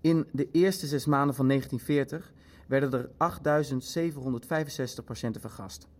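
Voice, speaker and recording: A man's voice: medium pitch, brisk, darkish tone, lightly acted. A man acting a detached Nazi bureaucrat in a radio play, clinical and hesitant, fussy about figures. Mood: detached, factual. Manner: hesitant, clinical, leafing through his facts. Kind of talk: dramatic dialogue in a radio play.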